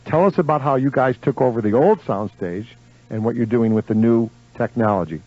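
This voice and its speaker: A dull, lo-fi radio recording of an American man hosting live. He banters with guests and listeners in an on-air interview style, at an ordinary male pitch. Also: brisk, husky. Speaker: radio host.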